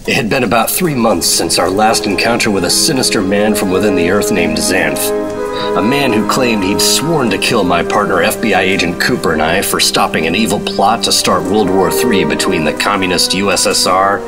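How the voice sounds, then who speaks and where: An actor playing a terse, deadpan 1950s government secret agent in a noir radio drama. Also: male voice, low-pitched, normal speed, loud music behind the voice, close to an everyday voice. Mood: cool, serious.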